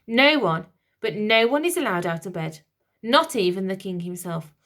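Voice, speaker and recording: A woman's voice: mid-range voice, animated, moderate pace, fairly bright tone. A suave villain lord, purring and commanding in a storybook reading, played by a woman in a voice close to her own. He is cold and menacing, with every word an order.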